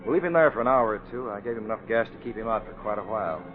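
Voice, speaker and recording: Man playing a brisk, decisive American vigilante. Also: fairly low pitch, lively intonation, music in the background, natural voice. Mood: brisk, calculating. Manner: brisk, assured, commanding. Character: confident, decisive masked vigilante planning in private. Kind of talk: 1940s radio acting. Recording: tinny, narrow old radio recording.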